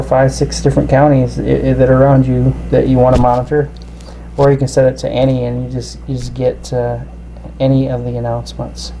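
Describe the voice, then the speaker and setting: A man giving a casual, halting, explanatory product demonstration as a reviewer. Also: medium pitch, dark tone, a little hiss or hum, poor audio.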